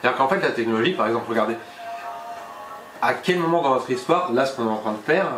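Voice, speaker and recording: A man co-hosting a casual chat over a cocktail, animated, laughing often and repeating words. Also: mid-range voice, quick pace, faint music behind. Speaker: co-host. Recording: echoey room, faint noise.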